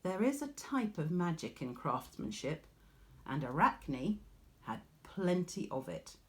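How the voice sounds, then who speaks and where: A woman reading a storybook aloud like a teacher, expressive, with dramatic pauses. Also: lowish voice.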